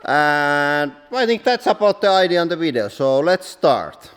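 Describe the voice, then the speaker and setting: A man with a Finnish accent hosting a casual tour of his workshop, talking in plain, halting English with many fillers. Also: fairly high pitch, very animated, a bit bright. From Finland. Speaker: workshop owner and channel host. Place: large industrial workshop hall. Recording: roomy echo with long hall reverb.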